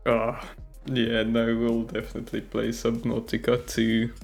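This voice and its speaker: A man's voice: mid-range voice, completely flat delivery, unhurried, gravelly, a little mellow, soft background music. A South African man, a native Afrikaans speaker, co-hosting a casual podcast chat, hesitant and laughing often. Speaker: podcast co-host. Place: living in America.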